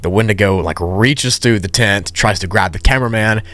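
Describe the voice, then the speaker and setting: A man's voice: on the low side, normal speed, a bit bright, no room sound. A man narrating a story recap in a casual, conversational way with informal asides.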